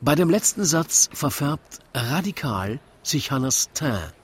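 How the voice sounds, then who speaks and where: A man narrating a comic radio play in rhyme, with wry, deliberate storytelling. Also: a little high, very animated, a little hiss or hum.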